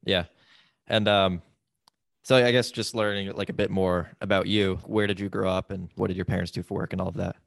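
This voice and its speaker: A male podcast host with a deep, gravelly, level voice. He asks quick, brief questions and speaks close to the mic in a dry, dead room. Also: fairly even intonation, no echo. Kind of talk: casual interview.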